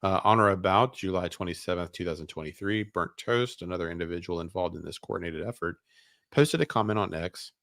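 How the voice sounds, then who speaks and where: Low voiced guy hosting, reads documents out loud then comments casually, kinda halting, really dry sound. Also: creaky, no echo. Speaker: show host.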